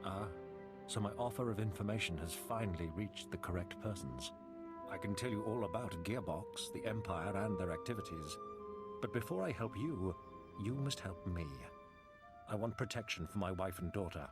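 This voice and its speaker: A man performs a proud captive nobleman from a sci-fi video game. His fairly low voice is formal, measured and haughty. Clear music runs behind the speech. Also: lightly acted. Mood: insistent. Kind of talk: scripted video game dialogue.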